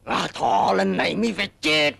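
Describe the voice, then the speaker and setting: Gravelly, blustering old cartoon wolf. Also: male voice, high-pitched, sing-song, moderate pace, a bit bright, no room sound, heavily acted. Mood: threatening, suspicious. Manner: theatrical, boastful. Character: blustering, gullible, bullying old male wolf. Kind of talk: cartoon voice acting. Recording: music behind the voice.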